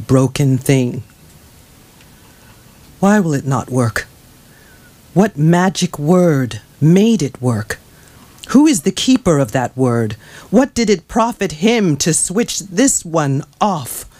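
A man performing a literary monologue in short halting phrases at a live bookshop event. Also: fairly high pitch, darkish tone. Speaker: reader in a staged book reading. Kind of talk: dramatic monologue read aloud. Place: bookshop event before a seated audience.

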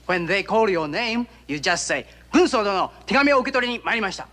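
An actor playing a cheerful, gentle young man from Japan who speaks, polite and earnest, in a 1960s TV sitcom. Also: sits high, expressive, quick pace. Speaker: cook and friend of the crew.

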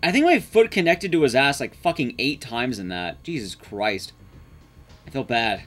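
A man's voice: medium pitch, big pitch swings, normal speed, a bit bright, soft background music. A man giving casual live commentary as he plays a game, swearing and muttering asides to himself. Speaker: streamer, speedrunner. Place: indoor room, streaming setup.

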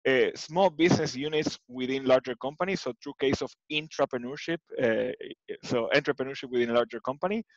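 A man's voice: medium pitch, a bit bright, dry recording. Argentine man on a muffled headset. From Buenos Aires, Argentina. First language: Spanish. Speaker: interview guest, tech executive. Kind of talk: conversational interview answers. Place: living room at home, indoors. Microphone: headset with boom mic, video call. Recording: slightly muffled video-call audio.

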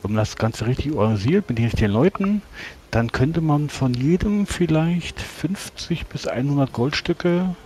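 A man roleplaying a courtly, self-important magister adventurer in a tabletop game, speaking formally and wordily with halting pauses. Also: fairly high pitch, animated, a little mellow, lightly acted. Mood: scheming. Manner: formal, verbose, halting delivery.

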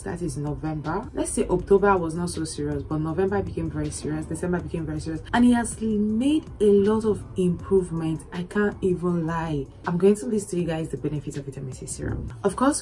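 Chatty hoarse young woman vlogging. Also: lowish voice. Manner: chatty, animated, repeats herself for emphasis. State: hoarse, recovering lost voice. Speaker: beauty vlogger. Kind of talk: casual skincare vlog to camera. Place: indoors against plain orange backdrop.

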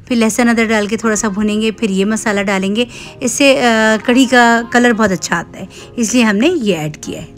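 A woman narrating cooking steps in an unhurried, explanatory way. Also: medium pitch. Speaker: cooking vlogger. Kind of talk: cooking voice-over.

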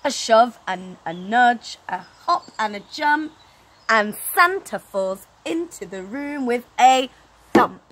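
A woman in her thirties reading a children's story aloud in an animated, dramatic way, recorded outdoors. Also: fairly high pitch, slow pace. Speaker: storytelling teacher. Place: outdoors on an open wooden deck. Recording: outdoor recording, clear background noise.

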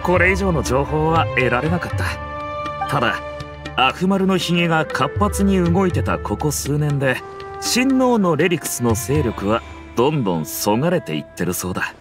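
An earnest young male mercenary from a video game. He talks like a comrade laying out facts, in a fairly high, very varied voice over noticeable music. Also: big pitch swings, normal speed. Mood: serious. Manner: explanatory, casual comradely speech.

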